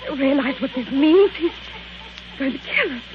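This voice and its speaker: An actress playing a frightened, trapped wife who is weakening, in 1940s radio drama style. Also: female voice, high-pitched, expressive, moderate pace, a crowd in the background. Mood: alarmed. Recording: muffled, hissy 1940 radio recording.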